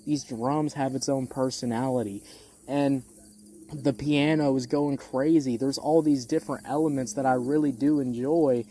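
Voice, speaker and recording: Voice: medium pitch, fairly even intonation, normal speed, dark tone, a little hiss or hum. A music critic giving a casual, opinionated album review, speaking bluntly and repeating phrases for emphasis. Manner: casual swearing.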